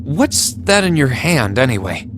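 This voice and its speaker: A young man of serious, brooding temper, speaks with insistent sincerity in a mid-pitched voice. He sounds like an earnest academy student in a game cutscene, with faint music underneath. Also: very animated, unhurried. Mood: irritated. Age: young adult. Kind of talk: video game voice acting.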